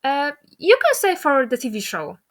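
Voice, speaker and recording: A woman's voice: high voice, very animated, moderate pace. A woman interviewer chatting casually as she asks questions and comments.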